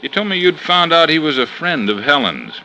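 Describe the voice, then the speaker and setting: An American actor playing a blunt, world-weary police lieutenant in a 1950s radio detective drama. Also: male voice, a little high, very animated, moderate pace, bright, forceful tone, slight background noise, heavily acted, poor audio. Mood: matter-of-fact. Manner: blunt, matter-of-fact.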